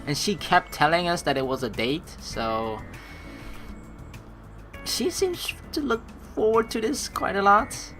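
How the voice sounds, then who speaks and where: A woman with a low voice plays through a story game. She reads aloud and comments in a very animated, dramatic way, her pitch moving widely. Faint game music runs behind her. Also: slow pace. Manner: expressive, dramatic reactions. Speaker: let's play streamer.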